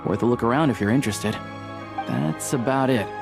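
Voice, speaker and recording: A man's voice: medium pitch, brisk, vocal fry, close to an everyday voice. A man playing a friendly young local guide in a video game, explaining things in a casual, helpful way. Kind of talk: video game cutscene dialogue. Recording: music playing behind the voice.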